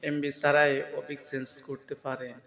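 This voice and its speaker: A man's voice: on the high side, completely flat delivery, a little fast, a bit bright. A man gives a spoken step-by-step app tutorial, addressing viewers directly as friends. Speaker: tutorial host.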